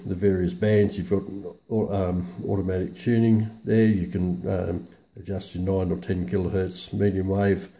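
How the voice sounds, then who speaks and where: Low, mellow man, halting delivery. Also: creaky. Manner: hesitant, halting, matter-of-fact delivery. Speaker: presenter of a radio review video. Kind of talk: casual unscripted product walkthrough.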